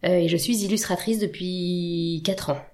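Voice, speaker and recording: A woman in her thirties answering interview questions, hesitant and full of pauses. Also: on the low side, steady tone, darkish tone. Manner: hesitant, many fillers and restarts. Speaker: podcast guest.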